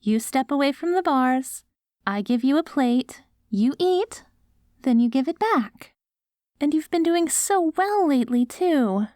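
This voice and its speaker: A woman's voice: sits high, lively intonation, a little slow, a little mellow, no room sound, clean studio sound. A woman playing a doting, patient wife in an ASMR roleplay audio drama, coaxing sweetly like a caregiver. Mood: patient, encouraging. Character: doting wife caring for her ghoul husband after apocalypse.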